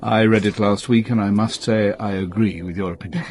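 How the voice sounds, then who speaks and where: Formal, courteous gentleman played by an actor. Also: fairly low pitch, steady tone, a little fast, background noise, natural voice. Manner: formal, precise, gently didactic. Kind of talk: British radio drama acting.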